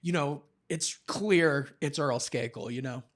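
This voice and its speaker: A man hosting a podcast in a casual, agreeable way, often chiming in with affirmations. His voice sits a little higher than most men's. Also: unhurried. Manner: agreeable, frequent backchannel.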